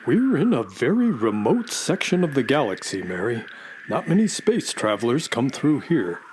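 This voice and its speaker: Man playing an animated talking space horse. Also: medium pitch, normal speed, a little hiss or hum, lightly acted. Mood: calm, informative. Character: male talking space horse, loyal companion of a girl. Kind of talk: children's audio drama acting.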